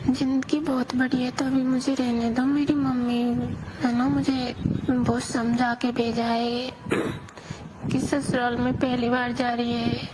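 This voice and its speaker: A woman plays a young newlywed bride confiding in her lover in an audio drama. Her voice is fairly high and unhurried, with little melody and a hesitant, plaintive manner. Clear noise sits behind this amateur call-style recording. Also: lightly acted. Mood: hesitant, explaining. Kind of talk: intimate phone-call storytelling in audio drama.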